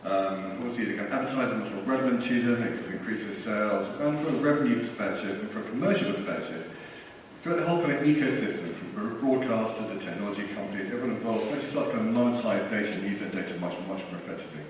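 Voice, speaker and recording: A man's voice: lowish voice, fast. A man speaking on a conference panel, hesitant and leaning on filler phrases. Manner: hesitant, leans on "kind of" and "you know". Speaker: panelist, tech co-founder. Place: large conference hall. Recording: echoey hall from far off, faint noise.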